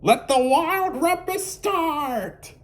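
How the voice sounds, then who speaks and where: A defiant, wild little boy from a storybook, acted out by a man, pitched far above an adult's usual talk. He calls out bossy commands in a loud, swooping voice. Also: expressive, very slow, full character voice. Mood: commanding. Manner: shouted commands.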